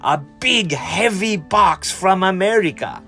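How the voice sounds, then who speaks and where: A man voicing an excitable, jolly village postman from a storybook, hollering and singing out. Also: high voice, takes it slowly, creaky, soft background music, voice pushed up, exaggerated voice. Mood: excited shouting.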